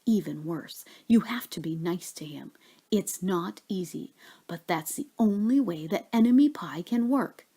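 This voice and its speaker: A woman voicing a knowing, secretive dad in a children's story, in a deliberate, conspiratorial manner. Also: on the low side, expressive, dark tone, breathy edge, full character voice. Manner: slow, deliberate, conspiratorial, sometimes whispering.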